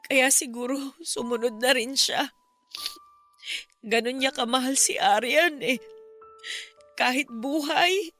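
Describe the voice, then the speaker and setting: A woman playing a grieving, grateful mother in a Filipino radio drama, sorrowful and halting, with a Filipino accent. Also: on the high side, sharp tone. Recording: music behind the voice. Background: music.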